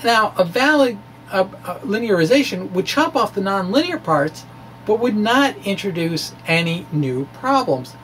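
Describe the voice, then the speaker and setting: A man giving a dramatic explanatory reading as a presenter, halting and hesitant, with emphatic turns. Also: high voice, expressive, a bit bright, a little hiss or hum, low-quality recording. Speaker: amateur physicist presenting his blog. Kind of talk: dramatic reading of a physics blog, explanatory talk.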